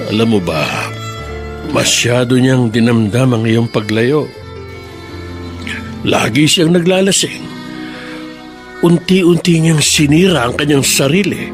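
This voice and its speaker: An actor playing an older father in a radio drama, speaking gently and earnestly. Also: medium pitch, slow pace, long pauses, lightly acted. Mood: pleading. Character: Ernesto, older father pleading for his son. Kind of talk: Tagalog radio drama acting. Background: music.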